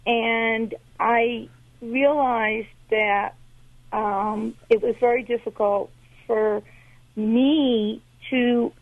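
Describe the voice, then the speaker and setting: A high-voiced woman calls in over a thin, tinny phone line. She talks slowly, searching for words with many fillers. Her voice has a gravelly edge. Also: fairly even intonation, dry recording. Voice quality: high-pitched. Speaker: caller. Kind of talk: phone-in question.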